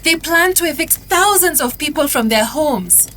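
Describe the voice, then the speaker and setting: A defiant young activist. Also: female voice, high-pitched, animated, normal speed, a bit bright, a little hiss or hum. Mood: earnest. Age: young adult. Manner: firm, earnest. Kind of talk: narrating her own journal.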